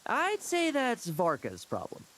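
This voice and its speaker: Sly, teasing, very expressive game character. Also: female voice, a little high, a little slow, heavy background noise. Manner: playful, knowing, dryly teasing. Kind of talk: video game voice acting.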